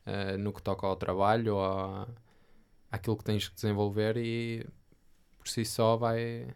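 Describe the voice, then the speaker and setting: A man's voice: fairly low pitch, flat delivery, a little slow, smooth. A young man from Portugal with a European Portuguese accent, co-hosting a casual tech podcast, hesitant with fillers as he reads the news. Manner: hesitant, many fillers and restarts while reading. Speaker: podcast co-host.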